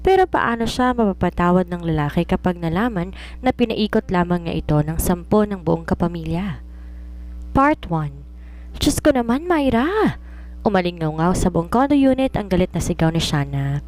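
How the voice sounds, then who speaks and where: Woman, story narrator, scripted romance reading, lowish voice. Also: expressive, moderate pace, a little mellow.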